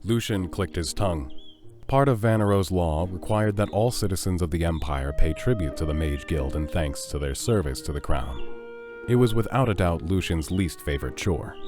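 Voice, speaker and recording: A man's voice: low voice, expressive, moderate pace. A man reading scripted narration for an audio drama as its narrator. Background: music.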